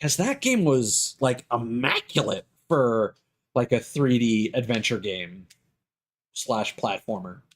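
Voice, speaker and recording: A man's voice: mid-range voice, animated, slow. A man doing casual gaming commentary as a live streamer, rambling and opinionated as he thinks aloud. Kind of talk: casual gaming commentary, chatting while playing.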